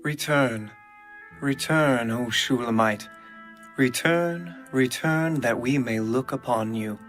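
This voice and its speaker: Guy acting a pleading companion in bible poetry, very slow, soft guitar behind. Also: medium pitch. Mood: beseeching. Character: beloved's companions calling the maiden back. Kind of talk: dramatised scripture reading. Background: guitar music.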